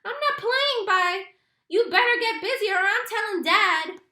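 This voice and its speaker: A woman's voice: very high voice, measured pace, bright, forceful tone, roomy sound, voice pushed up, full character voice. A woman voicing a stubborn young boy with an indignant, defiant manner in a storybook reading.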